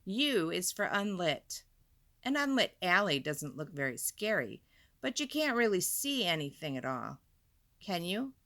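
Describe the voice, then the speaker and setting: Female storyteller for kids: lively swooping delivery, clear dramatic emphasis, forward bright tone, chatty asides. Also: on the low side. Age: adult. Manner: deliberate, dramatic emphasis, chatty questions to listeners. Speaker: storyteller, teacher reading to children. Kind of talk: expressive picture book read-aloud with asides.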